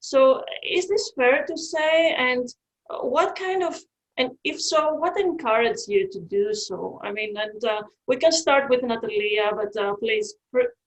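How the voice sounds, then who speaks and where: Woman, panel moderator, hesitant questions, slightly high voice. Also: a little slow, poor audio.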